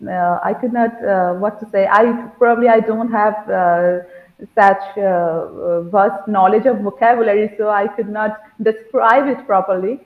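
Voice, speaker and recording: Indian English woman, hesitant learner, mid pitch, tinny echoey video-call audio. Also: fairly even intonation, normal speed. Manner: hesitant, many fillers and restarts, grateful. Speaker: student of pronunciation course. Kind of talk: video-call testimonial interview. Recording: tinny phone-like line, echoey room.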